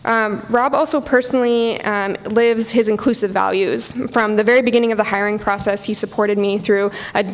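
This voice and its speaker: An American woman speaks at a public hearing in a fairly flat, even tone. Her pitch sits in the middle for a woman, and she delivers prepared testimony earnestly, with small stumbles as she goes. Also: brisk, hardly any pauses, poor audio. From Portland, Oregon, United States. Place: public hearing in a university hall.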